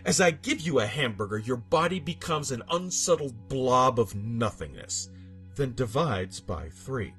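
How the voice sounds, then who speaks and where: A man's voice: mid-range voice, animated, unhurried, fairly bright tone. A man giving a dramatic scripted narration of absurd fiction, with a theatrical, emphatic delivery. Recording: music bed behind narration. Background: background music.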